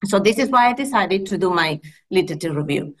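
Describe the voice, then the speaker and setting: A woman in her thirties with a Spanish accent presents research in a measured, earnest way, pausing on fillers and correcting herself as she goes. She speaks into a laptop mic on a video call from a small room. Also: medium pitch, animated. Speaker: midwifery lecturer presenting research. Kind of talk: conference presentation.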